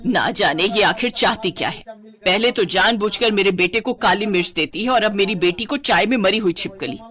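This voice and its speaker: A woman's voice: mid-range voice, normal speed, fairly bright tone, close to an everyday voice. A woman voicing a stern, middle-aged mother-in-law who is protective of her children, in a story. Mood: accusing. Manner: scolding, indignant.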